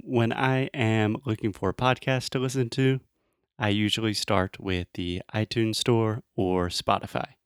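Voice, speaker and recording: Low-pitched American man hosting a podcast. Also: clear, resonant tone, no room sound, clean studio sound. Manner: informal, emphatic, simple explanatory phrasing. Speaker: podcast host. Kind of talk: casual English-learning podcast, speaking directly to listeners.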